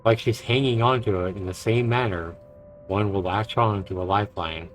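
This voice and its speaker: A man's voice: lowish voice, fairly even intonation, normal speed, slight background noise. A man who streams games, reading visual novel narration aloud and reacting to it. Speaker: game streamer. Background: game music.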